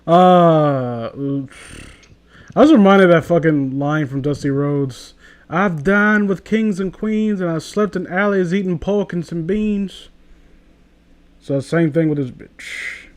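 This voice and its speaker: A man's voice: fairly high pitch, a little mellow. A man hosting a comedy reading, commenting casually as he reads aloud, with a sarcastic, foul-mouthed manner. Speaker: host of a comedy reading channel.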